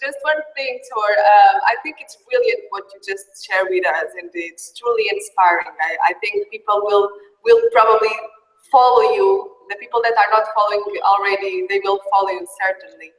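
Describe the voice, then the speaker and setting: A woman's voice: medium pitch, lively intonation, normal speed, echoey room, in a large hall, recorded from a distance, poor audio. A woman hosting an interview over a call, friendly and laughing often, speaking English with some hesitation. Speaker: interview host. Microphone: video call.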